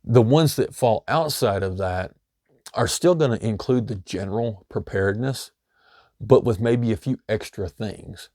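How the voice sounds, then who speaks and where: A middle-aged man with a low, warm voice, a bit hoarse as if losing it. He talks through practical advice in a loose, easygoing way, leaning on "you know" as he goes. The sound is dry and close. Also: a little slow, no echo. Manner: loose and conversational, many "you know" fillers. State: slightly hoarse, losing his voice. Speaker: homesteading channel host. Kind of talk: casual instructional talk.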